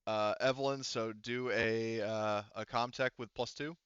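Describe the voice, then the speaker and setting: Clear-voiced man running a game, slightly muffled. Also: on the low side, fairly even intonation, measured pace, fairly bright tone, dry recording. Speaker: game master. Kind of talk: running a tabletop RPG session, casual.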